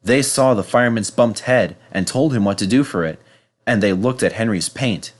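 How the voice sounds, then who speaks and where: A man reading a children's story aloud in an animated, scripted narration style. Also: fairly low pitch, normal speed. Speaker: storybook reader.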